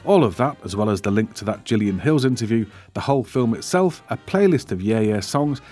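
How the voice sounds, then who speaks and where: A man's voice: lowish voice, lively intonation, a little fast, darkish tone, faint music behind. A male podcast host chatting wryly about a film, then reading the show's closing credits. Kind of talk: casual film chat and scripted outro.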